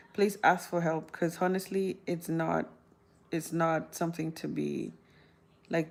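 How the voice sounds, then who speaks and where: Woman, 30s, from South Sudan, chatty vlog Q&A delivery; low, gravelly tone. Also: little pitch movement, slow pace, darkish tone. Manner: chatty, laughs often, rambling restarts. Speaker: vlogger answering viewer questions. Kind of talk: casual talk to camera.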